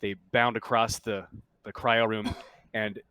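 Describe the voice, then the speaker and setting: A man with a fairly low, bright and forward voice narrates a tabletop roleplaying game as its game master. He improvises in a conversational, halting way. The sound is very dry, with hardly any room to it. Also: little pitch movement, clear, resonant tone, no echo.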